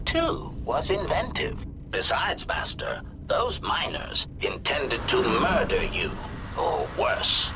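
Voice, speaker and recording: An actor playing a polite but sinister protocol droid in a video game, with robotic processing and formal, pedantic delivery. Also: male voice, mid-range voice, low-quality recording. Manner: formal, pedantic, labels statements before saying them. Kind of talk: scripted video game dialogue.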